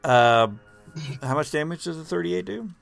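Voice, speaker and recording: A man improvising narration as game master of a tabletop game, telling it haltingly and dramatically with drawn-out pauses. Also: on the high side, lively intonation, gravelly, fairly bright tone, dry recording, soft background music. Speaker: game master of a tabletop role-playing show.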